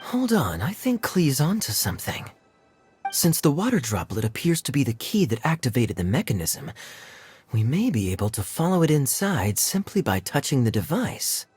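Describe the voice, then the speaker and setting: A suave young male knight acted by a man in a video game. He talks in a teasing, deliberate way with lively, swooping intonation. Music plays clearly behind him. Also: mid-range voice, lightly acted. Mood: composed, reasoning. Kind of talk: video game cutscene voice acting.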